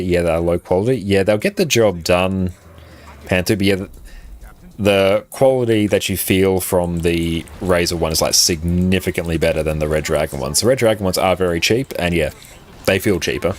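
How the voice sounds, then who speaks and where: Casual male streamer, very deep voice, easygoing filler-heavy chat, close compressed mic, faint hiss behind. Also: a little fast. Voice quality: very low voice. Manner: relaxed, rambling, many "like" fillers. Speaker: game streamer. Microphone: condenser mic on an arm, compressed.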